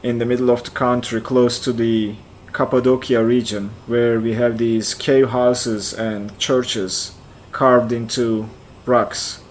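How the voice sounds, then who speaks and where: A man in his forties with a Turkish accent, a native Turkish speaker, talking in a relaxed live-stream way. He reads out chat and answers it, thinking aloud as he goes. His voice sits in a middling pitch. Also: takes it slowly, a little hiss or hum, poor audio. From central Turkey. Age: 40s. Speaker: flight sim streamer.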